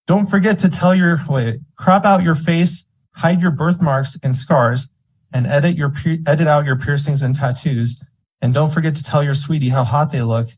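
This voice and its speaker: A man's voice: fairly high pitch, moderate pace, breathy edge, rough recording. Man giving indignant public testimony. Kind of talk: public comment speech at a meeting. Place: school board meeting room.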